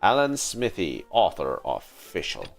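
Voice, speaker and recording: A man's voice: deep voice, huge pitch swings, takes it slowly, creaky. A man doing casual live game commentary, reacting and muttering to himself as he plays. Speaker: game livestreamer. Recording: game music in background.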